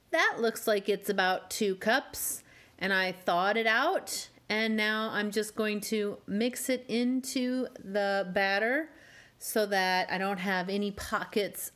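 Lady baking at home, explaining each step real slow, kinda wry about the mess. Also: mid-range voice. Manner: unhurried, gently wry asides. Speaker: home cooking channel host. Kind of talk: calm step-by-step baking instruction. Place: home kitchen.

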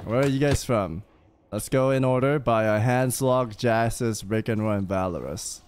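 A man's voice: medium pitch, moderate pace, clear, resonant tone. A young man streaming a game, chatting and teasing his group in casual voice chat, speaking close to a studio mic at home. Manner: playful, teasing, jumpy and animated. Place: indoor home streaming room. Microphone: studio mic on a boom arm, close.

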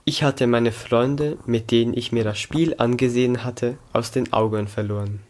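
A sixteen-year-old young man from Switzerland, reading a scripted story aloud in a careful, measured manner. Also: medium pitch, steady tone, darkish tone. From Zug, Switzerland. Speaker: student reading own story. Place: small indoor room against a plain wall.

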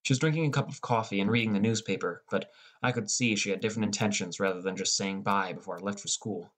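A young man reading a scripted first-person audiobook as a depressed high school boy. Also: fast talker, a bit bright. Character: depressed, irritable high school boy telling his story. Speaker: audiobook narrator.

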